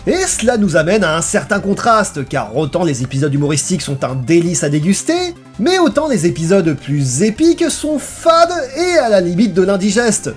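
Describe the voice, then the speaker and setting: A narrator delivering a scripted video review in an expressive, emphatic way. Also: mid-range voice, normal speed, faint music behind.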